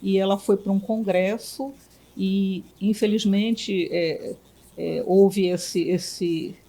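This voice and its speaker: A woman from Belém, a physician and union director, answering interview questions in a reflective way with many pauses and restarts. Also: mid-range voice, fairly even intonation, dark tone. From Belém, Brazil.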